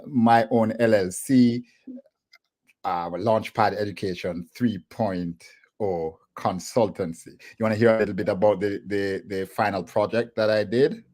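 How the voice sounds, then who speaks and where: Jamaican man, deep measured voice. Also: steady tone, a little mellow. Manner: measured, with hesitant fillers. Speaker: guest, professor. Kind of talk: video call interview. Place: home office. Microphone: video call.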